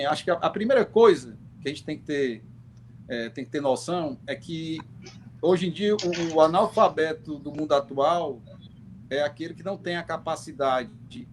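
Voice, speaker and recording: Male panel guest, slightly high voice, reflective and halting, noisy video-call sound. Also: expressive. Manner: reflective, halting, repeats words as he thinks. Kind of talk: unscripted opinion talk on a live online panel. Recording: clearly noisy online call audio.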